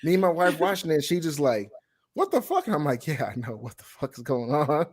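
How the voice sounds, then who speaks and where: A man's voice: on the high side, big pitch swings, a little fast, husky. A man in a casual, opinionated chat, blunt and emphatic, repeating words and swearing heavily. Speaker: guest co-reviewer.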